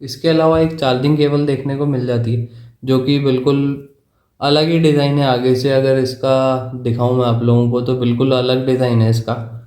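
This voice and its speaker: A man giving a casual product explanation in a halting, repetitive way. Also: medium pitch, level tone, dark tone. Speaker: product reviewer. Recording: roomy, echoey sound.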